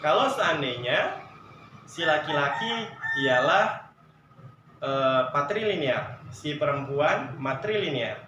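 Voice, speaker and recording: A male sociology teacher giving an online lesson, explaining through examples, asking the class rhetorical questions and filling pauses with "nah.". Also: a little high, lo-fi sound. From Javanese heritage, Indonesia. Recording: echoey room with faint noise.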